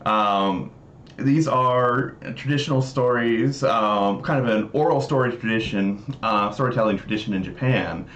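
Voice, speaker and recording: A man hosting a livestream, explaining things casually in a halting, hesitant way. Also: medium pitch, a bit bright. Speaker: livestream host. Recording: roomy echo, dull mic, noisy background.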